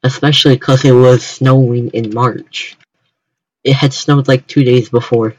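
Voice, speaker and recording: A young American man chatting casually on a livestream, talking haltingly with many repeated starts. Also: mid-range voice, fairly even intonation, lo-fi sound. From United States. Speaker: streamer.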